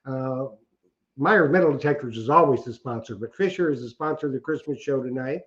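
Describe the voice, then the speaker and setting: A man's voice: medium pitch, a little fast. Folksy rambling co-host man, lo-fi sound. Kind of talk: casual livestream chat.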